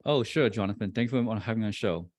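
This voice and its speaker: A man in his forties with a low, deep voice answers an interviewer's questions about his career. He talks in a halting way, full of fillers and restarts. The sound is dry and close. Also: darkish tone, dry recording. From born in Vietnam, raised in New Jersey. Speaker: guest, real estate investor.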